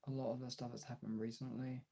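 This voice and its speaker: A young man with a husky voice chatting casually and informally as a livestreamer, replying to his viewers as he goes. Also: medium pitch, little pitch movement, fast talker, dark tone. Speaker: streamer and video creator.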